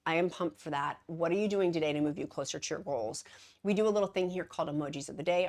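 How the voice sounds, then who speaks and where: A woman chatting casually to her viewers as a weight-loss vlogger, bubbly and gushing, with rambling side notes and self-deprecating jokes. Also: lowish voice. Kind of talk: casual chatty vlog to camera.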